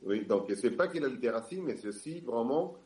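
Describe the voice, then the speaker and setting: A man from Argentina with an Argentine Spanish accent, speaking on a panel in French and often pausing to search for words. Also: mid-range voice, expressive, a little mellow, reverberant, poor audio. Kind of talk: public discussion.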